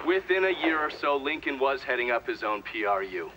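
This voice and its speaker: Brash, sharp-voiced American ex-CIA operative. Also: male voice, medium pitch, sing-song, brisk, rough recording. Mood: matter-of-fact. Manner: blunt, profane, cynical. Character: brash, foul-mouthed, unrepentant ex-CIA operative testifying, middle-aged man. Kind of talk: video game cutscene acting, testimony.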